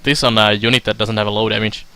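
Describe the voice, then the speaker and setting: A young man from Finland giving casual let's play commentary, halting with fillers as he reads game text aloud. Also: lowish voice, level tone, bright, forceful tone, clear, resonant tone. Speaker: streamer.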